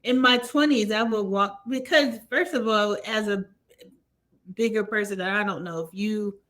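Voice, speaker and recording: A woman's voice: mid-range voice, measured pace, a bit bright. A woman hosting a podcast, speaking frankly and conversationally as she shares her own experience. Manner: frank, conversational, agreeing and sharing. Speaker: podcast host. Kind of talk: casual chat.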